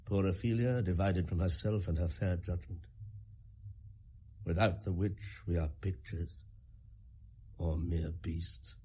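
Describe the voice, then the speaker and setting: A man playing a grave, troubled middle-aged king with a husky voice, declaiming verse in a Shakespeare radio play. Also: deep voice, fairly even intonation, takes it slowly, pauses often, mellow, a little hiss or hum. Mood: heavy, sorrowful.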